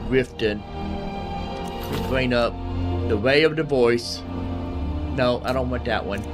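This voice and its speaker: Man, mid-pitched voice, gamer commentary, casual, rambling, repeats himself, thinking out loud, music behind. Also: slow pace. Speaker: gamer narrating own playthrough.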